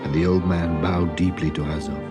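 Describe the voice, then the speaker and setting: A man narrating a children's story as an expressive storyteller. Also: sits low, moderate pace. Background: music.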